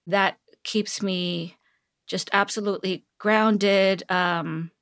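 A woman's voice: medium pitch, steady tone, slow, fairly bright tone. A middle-aged woman from Seoul speaking conversationally as a guest in an interview, given to laughing. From Seoul, South Korea. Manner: laughs often. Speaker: podcast guest, technology executive.

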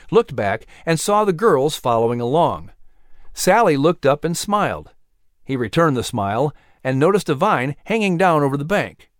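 A man narrating a children's audiobook in an expressive storytelling manner. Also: on the high side, dry recording, clean studio sound.

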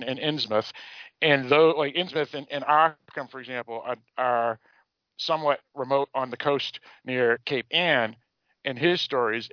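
A man with an American accent hosting a casual discussion podcast about a TV show, speaking in a rambling, halting way with repeated words. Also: medium pitch, no echo, highs cut off. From Massachusetts, United States. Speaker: podcast host.

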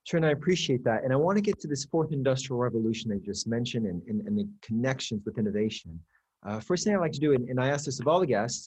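A man's voice: medium pitch, a little mellow, slightly rough, poor audio. A man hosting an interview who asks questions with stammering restarts and repeated words. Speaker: podcast host.

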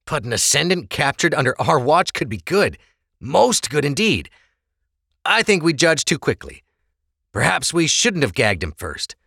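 A man's voice: on the high side, sing-song, measured pace, vocal fry, sharp tone, breathy edge, no room sound, clean studio sound, pitched above the performer's own voice, exaggerated voice. A man voicing stern, scornful prison guards, raising his voice into a harsh, scolding tone. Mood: scheming.

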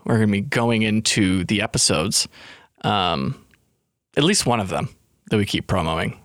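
A man's voice: lowish voice, normal speed, a bit bright, no room sound, clean studio sound. A young adult man, co-hosting a podcast in casual, joking chat with his partner and laughing often. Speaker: podcast co-host.

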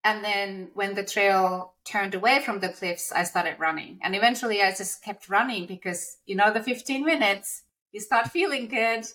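A middle-aged woman with a medium-pitched voice speaks as a podcast co-host. English is her after a Nordic mother tongue. She shares personal experience openly, in a conversational and confiding manner. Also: normal speed, fairly bright tone, rough recording. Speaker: podcast co-host telling her own story.

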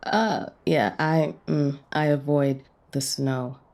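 A woman's voice: deep voice, steady tone, slow pace, a little mellow. An actress playing a dorky, self-deprecating, chatty woman in a romantic radio play, rambling and teasing. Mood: casual, wry. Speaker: voice actor in a romance audio play. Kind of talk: naturalistic radio play dialogue.

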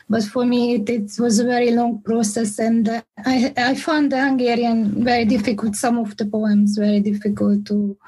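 Mellow, hesitant woman poet. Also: fairly high pitch, a little hiss or hum, poor audio. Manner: hesitant, repeats words. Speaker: poet, panel guest. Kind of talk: casual chat.

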